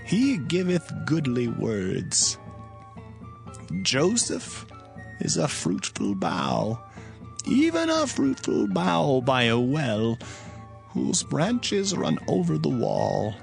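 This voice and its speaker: Aged biblical patriarch, gravelly and solemn, swooping declamation for audio scripture drama, music underneath. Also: male voice, mid-range voice, huge pitch swings, full character voice. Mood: solemn blessing. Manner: slow, declamatory. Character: aged dying biblical patriarch, solemnly blessing his sons.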